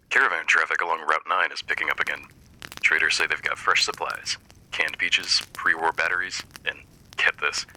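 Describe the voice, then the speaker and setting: A man playing a wry, folksy post-apocalyptic radio host, delivering scripted show patter in a dry, reassuring announcer manner with a husky voice. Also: very deep voice, bright, forceful tone, exaggerated voice, low-quality recording. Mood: wry, easygoing.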